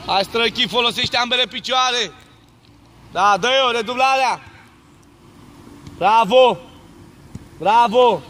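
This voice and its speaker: A man coaching football, calling out loud, urgent short commands and praise during a training drill. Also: very high voice, long pauses. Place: outdoors on a football training pitch.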